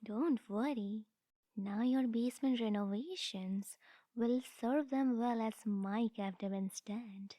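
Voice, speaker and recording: A woman playing a possessive, scheming yandere sister in a comedic ASMR audio roleplay, breathy and often near a whisper, with a haughty, condescending sweetness. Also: on the high side, warm tone, no echo, studio quality. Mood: smug, possessive. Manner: haughty, deliberate, cooing to the listener.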